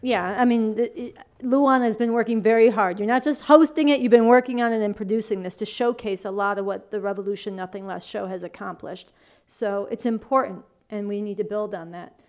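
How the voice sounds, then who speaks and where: A woman's voice: mid-range voice, brisk, darkish tone. A woman hosting a political talk show, introducing segments in an earnest, emphatic manner.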